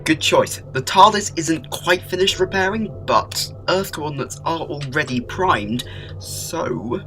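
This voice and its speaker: A man's voice: on the high side, music in the background. A man playing a whimsical, upbeat alien time traveller with a playful manner in a fan audio drama. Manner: playful, animated, quick shifts.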